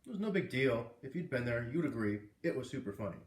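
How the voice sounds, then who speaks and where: A man reading a children's novel aloud in an expressive, animated way, with casual asides. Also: mid-range voice, quick pace. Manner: animated, puts on character voices. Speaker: read-aloud host. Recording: roomy, distant microphone.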